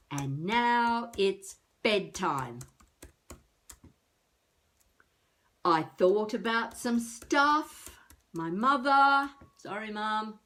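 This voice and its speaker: An actress playing an elderly wannabe novelist, wry and self-mocking, musing haltingly to herself in a confessional video-diary monologue. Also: female voice, mid-range voice, fairly bright tone. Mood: self-deprecating. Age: older.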